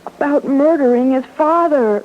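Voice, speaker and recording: A woman's voice: high-pitched, measured pace, slight background noise. An actress playing an anxious, fretful teenage girl in old radio suspense style, with hesitant, stammering speech. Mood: shaken, anxious. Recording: muffled old radio broadcast with music.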